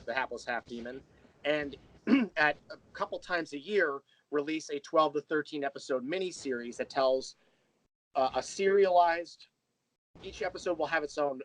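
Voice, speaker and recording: A man's voice: fairly high pitch, fairly bright tone, rough recording. A man speaking as an interview guest, explaining things in detail in a conversational way. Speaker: interview guest, audio drama creator.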